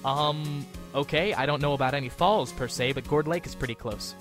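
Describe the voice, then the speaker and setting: A man's voice: fairly high pitch, brisk, recorded from a distance, music in the background, natural voice. A man playing a wry, self-deprecating young rookie defense attorney in an audio drama, narrating in a sarcastic, conversational way. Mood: wry, explaining. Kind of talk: fan audio drama acting.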